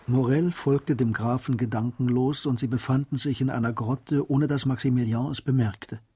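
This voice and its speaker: A man narrating a literary German radio drama in a measured, composed storytelling manner. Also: medium pitch, fairly even intonation, dark tone, slight background noise.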